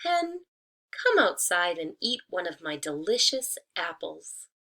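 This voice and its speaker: A woman voicing a sly wolf posing as a talking apple tree in a children's storybook, with a slow, coaxing, emphatic delivery. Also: lowish voice, big pitch swings, sharp tone, full character voice. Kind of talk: children's storybook read-aloud.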